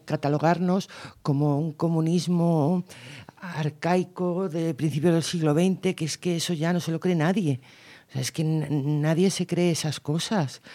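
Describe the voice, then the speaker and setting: Woman from Guadalajara, campaigner on a panel; mellow, darker tone, earnest and emphatic. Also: low voice. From Guadalajara, Spain. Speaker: political campaigner. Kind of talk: radio panel discussion.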